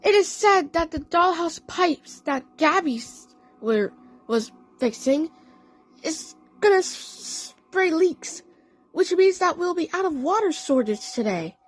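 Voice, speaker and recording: Woman as a high, emphatic cartoon fairy cat. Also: lively intonation, takes it slowly, soft background music, full character voice, low-quality recording. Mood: alarmed, grave. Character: Kitty Fairy, urgent female cartoon fairy cat bearing bad news.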